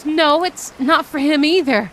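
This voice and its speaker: A woman's voice: sits high, measured pace, slight background noise. A woman voicing a shy, grumpy young mermaid in a romantic roleplay audio, protesting indignantly and stammering in denial. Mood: embarrassed denial.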